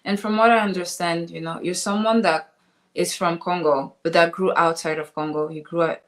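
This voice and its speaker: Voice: medium pitch, steady tone, moderate pace, a bit bright. A host politely asking long, winding interview questions during a live conversation.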